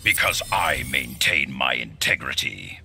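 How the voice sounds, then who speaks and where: An actor playing a stern, honourable ice ninja warrior in a video game, grave and deliberate, with a gravelly voice. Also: male voice, rumbling low, sing-song, heavily acted. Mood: resolute. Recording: game audio with loud background noise.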